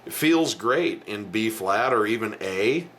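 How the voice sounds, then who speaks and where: A middle-aged man with a low voice teaching piano in a casual video lesson, talking bluntly and chatting between the keys he plays. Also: lively intonation, measured pace, fairly bright tone, slight background noise. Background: piano playing.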